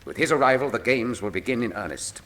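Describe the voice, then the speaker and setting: A man's voice: medium pitch, animated, quick pace, a bit bright. A man playing a shrewd, commanding detective in a radio drama, with formal, precise diction and a superior but affectionate manner. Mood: commanding, intent.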